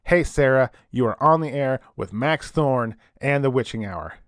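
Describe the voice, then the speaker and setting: Casting: male actor as late-night call-in radio host, medium pitch, informal, sympathetic, close dry studio sound. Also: animated, moderate pace, no echo, natural voice. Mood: upbeat. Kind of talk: casual radio talk show acting.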